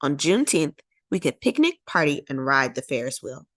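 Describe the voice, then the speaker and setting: A woman's voice: low-pitched, sing-song, normal speed, no echo, voice pushed down. A woman voicing an elderly, hopeful great-grandmother telling her story in a children's storybook, with emphatic, lyrical phrasing. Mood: reminiscing. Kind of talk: children's storybook character reading.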